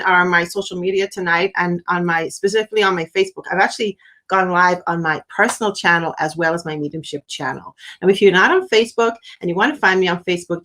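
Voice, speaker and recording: Middle-aged woman, a medium hosting a live stream; chatty and upbeat, average pitch with playful jumps. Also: fairly bright tone. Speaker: spiritual medium hosting a livestream. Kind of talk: casual live-stream chat.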